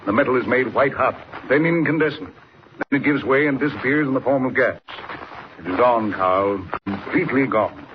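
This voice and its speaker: An actor playing a sinister, boastful professor in a 1940s radio drama, speaking in a measured, smug, theatrical way. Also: male voice, medium pitch, natural voice. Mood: gloating. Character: sinister, smugly boastful male professor villain. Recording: noisy, dull old 1940s radio recording.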